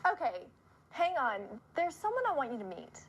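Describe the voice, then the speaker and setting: A woman with a high voice asks questions as a friendly interviewer. Her intonation is lively and moves around a lot, with music clearly playing underneath. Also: moderate pace. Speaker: off-camera interviewer. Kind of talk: friendly scripted video interview.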